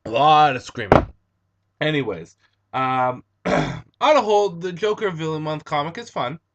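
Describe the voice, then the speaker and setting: A young man with a raspy, hoarse voice, chatting casually and eagerly as a comic book reviewer, recorded on a computer webcam. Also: fairly high pitch, very animated, measured pace. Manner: enthusiastic, chatty, with frequent um and uh. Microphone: computer webcam mic.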